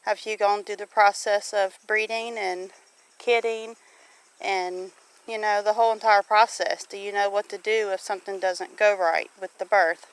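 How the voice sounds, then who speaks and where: A woman's voice: medium pitch, steady tone, moderate pace, clear, resonant tone, low-quality recording. A middle-aged woman with a Southern US accent chatting casually as a homesteader, folksy and given to putting questions to the listener. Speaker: homesteader vlogger. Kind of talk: casual chat. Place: outdoors on a homestead near farm animals.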